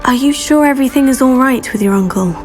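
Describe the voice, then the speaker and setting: A woman playing a polite, earnest teenage girl, a new student in a video game, measured in manner. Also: high-pitched, soft background music, natural voice. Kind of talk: video game character dialogue.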